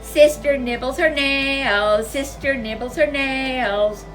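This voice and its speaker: A woman acts out teasing little storybook bear cubs at school. The voice is high and drawn out in a sing-song, mocking chant. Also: slow, a bit bright, soft background music, rough recording. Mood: taunting.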